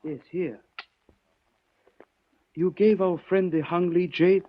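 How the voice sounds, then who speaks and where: An actor playing a calm, courteous Chinese man in a 1940s radio drama, speaking in formal, measured phrases. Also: high voice. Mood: polite, grave. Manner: formal, measured, avoids contractions. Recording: muffled vintage 1940s radio recording.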